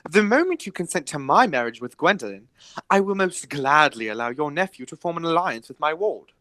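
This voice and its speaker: Animated, indignant gentleman, acted by a man. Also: a little high, brisk, dry recording. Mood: firm bargaining. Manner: formal, emphatic. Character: indignant, stubborn young gentleman guardian Jack Worthing. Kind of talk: amateur radio play acting.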